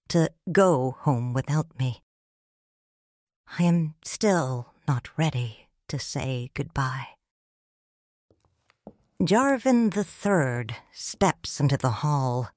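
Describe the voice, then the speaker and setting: A woman narrating in first person as a grieving widowed noble mother in a fan audio drama, quiet and restrained. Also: a bass voice, no echo, clean studio sound, exaggerated voice. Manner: slow, hushed storytelling.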